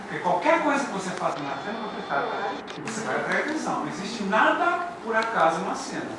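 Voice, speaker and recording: A man's voice: sits high, very animated, fast. A man with a Brazilian Portuguese accent giving emphatic, didactic feedback as a theatre teacher, repeating his key phrases. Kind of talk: spoken critique at a post-performance discussion. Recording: echoey room from far off, noisy.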